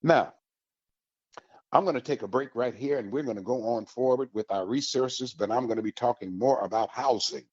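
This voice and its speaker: A man's voice: medium pitch, normal speed, husky, dry recording, lo-fi sound. A man hosting a community radio show for veterans, talking in a folksy, upbeat promotional way. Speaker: radio host.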